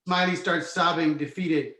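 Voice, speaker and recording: A man's voice: on the high side, steady tone, a little slow, pushed and bright. A man reading scripted screenplay stage directions as narrator, in an echoey room. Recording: roomy, echoey sound.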